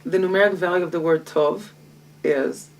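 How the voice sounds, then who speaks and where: A woman, a Hebrew speaker, teaching a Torah lesson with a lively, self-mocking manner and frequent laughter. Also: fairly low pitch, moderate pace. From Israel. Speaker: guest teacher. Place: home library. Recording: distant mic.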